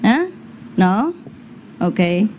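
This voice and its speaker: A woman's voice: mid-range voice, very animated, very slow. Chatty woman teacher in a noisy hall. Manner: chatty, teasing, laughs often, brief questions. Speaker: spiritual teacher leading a gathering. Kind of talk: informal question-and-answer with audience. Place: large indoor meeting hall with an audience.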